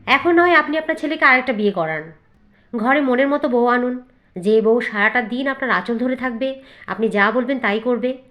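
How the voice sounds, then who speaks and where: Cartoon casting: woman as outspoken young wife, hurt but unbending, slightly high voice. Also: normal speed, lo-fi sound, close to an everyday voice. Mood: defiant. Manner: accusing, firm, outspoken. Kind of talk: cartoon voice acting.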